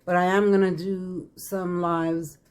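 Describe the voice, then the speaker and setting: A raspy-voiced woman, chatting casually to viewers as a tarot reader, congested from a head cold. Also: lowish voice, little pitch movement, slow, a little mellow. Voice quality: raspy, crackly voice. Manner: chatty, addresses viewers as y'all. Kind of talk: casual psychic reading vlog.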